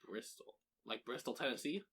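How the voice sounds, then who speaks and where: A man's voice: mid-range voice, animated, normal speed, creaky, a bit bright, slightly rough. A man co-hosting a casual podcast, joking and teasing his friend in loose banter. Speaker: podcast co-host. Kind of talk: casual chat.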